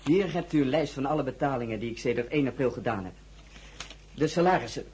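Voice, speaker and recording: A man's voice: medium pitch, lively intonation, fast talker, darkish tone, slight background noise, natural voice. A man playing a careful, deferential household steward in a radio drama, polite and matter-of-fact. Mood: apologetic, factual.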